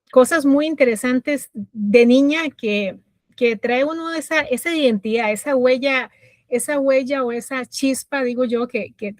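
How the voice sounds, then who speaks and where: A middle-aged woman from Costa Rica with a Costa Rican accent, a guest telling her life story in a reflective way with many pauses and fillers. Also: fairly high pitch, no room sound. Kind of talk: personal story interview.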